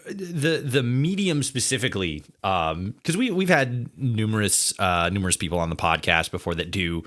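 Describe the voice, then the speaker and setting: A man hosting a casual podcast interview, speaking haltingly as he searches for his words. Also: fairly low pitch, sing-song, a bit bright.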